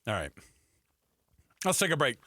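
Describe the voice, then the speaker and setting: Bright, dry-miked male sports radio host. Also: medium pitch, huge pitch swings, normal speed, no echo. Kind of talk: casual sports talk radio.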